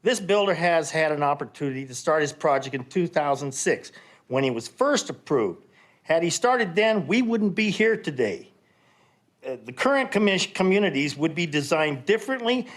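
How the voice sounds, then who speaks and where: A man speaking loudly and indignantly during public comment at a council meeting. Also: a little high, very animated, normal speed. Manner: loud, indignant.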